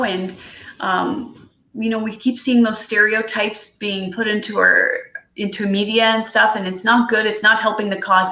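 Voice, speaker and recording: Bright-voiced woman, native Portuguese speaker. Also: medium pitch, roomy sound. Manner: warm, modest, halting restarts. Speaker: author and educator guest. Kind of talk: casual interview chat.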